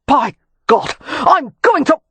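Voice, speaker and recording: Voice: mid-range voice, very animated, moderate pace, slightly rough. A narrator voicing a hot-tempered, sardonic young nobleman in an audiobook. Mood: furious. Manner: furious, raised voice. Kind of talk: audiobook character voice.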